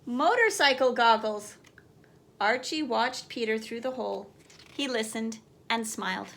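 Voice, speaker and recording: A woman's voice: fairly high pitch, fairly even intonation, normal speed, vocal fry, a bit bright, slight background noise. A woman teacher reading a children's picture book aloud, expressively, as if putting questions to young listeners.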